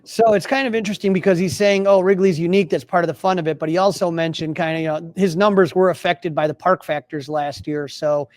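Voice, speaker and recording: A man with a distinctly high voice and an American accent talks sports casually. He rambles and circles back over his phrases, recorded close and dry. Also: steady tone, quick pace, few pauses, no room sound. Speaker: sports podcast host.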